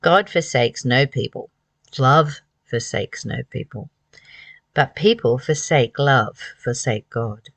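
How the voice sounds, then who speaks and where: Someone reading a spiritual book out loud and commenting, earnest n emphatic, mid pitch, really dry sound no echo. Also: expressive, slow. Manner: earnest, emphatic, repeats phrases and rhetorical questions. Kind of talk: devotional reading aloud with spoken commentary.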